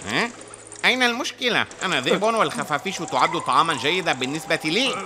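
A man voicing a greedy, cowardly grey male wolf in a dubbed cartoon, talking in an excitable, yelping way. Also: fairly high pitch, quick pace, slight background noise.

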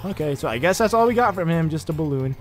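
A young man giving casual, excitable let's-play commentary over his gameplay, chatting with jokey asides. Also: fairly high pitch, quick pace, faint music behind. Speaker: gaming YouTuber.

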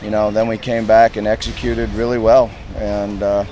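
A man in his fifties, a head football coach, answering reporters outdoors at a stadium in a plain, measured way with lots of "you know" fillers. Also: fairly low pitch, little pitch movement, mellow, a little hiss or hum, rough recording. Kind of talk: post-game press interview.

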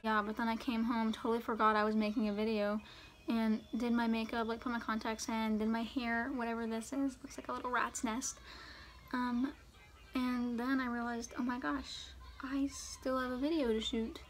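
A teenage girl vlogging in a casual, jokey way, her voice a little raspy from short sleep, filmed on a phone at arm's length in a parked car. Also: a little high, little pitch movement, moderate pace, slight background noise, low-quality recording. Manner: chatty, jokey, lots of "like" and "literally". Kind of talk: casual vlog chat. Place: parked car on a rainy day. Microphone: phone held at arm's length.

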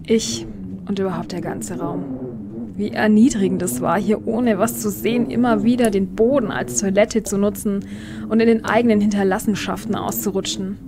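A woman acts out a young kidnapped heiress in a crime audio drama. She sounds drained and desperate, in a medium-pitched voice. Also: steady tone, normal speed, vocal fry, a crowd in the background, lightly acted. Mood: exhausted, despairing. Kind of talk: audio drama monologue and acting.

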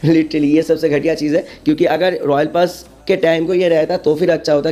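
A man's voice: fairly high pitch, warm tone. An Indian man giving a casual explainer commentary as a gaming video creator, peppering his talk with English words and repeated verbal tags.

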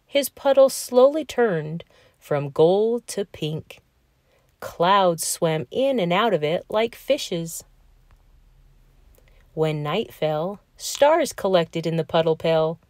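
Lady doing a kids read aloud, expressive, lots of up and down, takes her time. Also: lowish voice, dry recording, studio quality. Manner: animated, emphatic storytelling delivery. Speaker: children's book reader.

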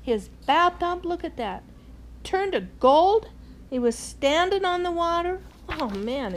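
An older woman reading a children's storybook aloud as a grandmother, expressively, with exclaimed asides. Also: high-pitched. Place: large indoor lodge room with fireplace. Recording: clearly noisy room, ambient sound.

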